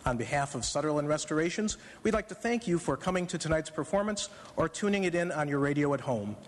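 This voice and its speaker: A man's voice: a little high, animated, fast talker. A man reading a scripted sponsor message as a radio announcer. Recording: live auditorium reverb.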